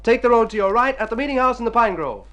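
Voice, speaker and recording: An actor playing an excitable, self-pitying hypochondriac in an old radio drama, with a theatrical, florid delivery. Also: male voice, extremely high pitch, fast talker, muffled recording. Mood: lofty, rapturous. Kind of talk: golden-age radio drama acting.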